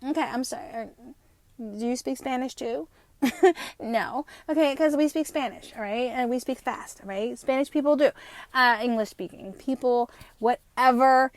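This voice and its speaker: A woman in her fifties with a New Jersey accent at times, hosting an unscripted podcast monologue, talking fast, swearing freely and tagging her points with "right?". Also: sits high, no room sound. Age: 50s. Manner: fast-talking, profane and rambling; emphatic, with frequent tag questions. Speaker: podcast host.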